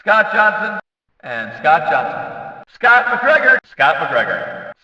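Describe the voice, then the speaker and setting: A man playing a hyped-up race announcer in a video game, calling out drivers' names in a showy, booming style. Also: sing-song, reverberant, in a large hall, far from the mic, dull audio. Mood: punchy, upbeat. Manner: showy, booming, drawn-out name calls.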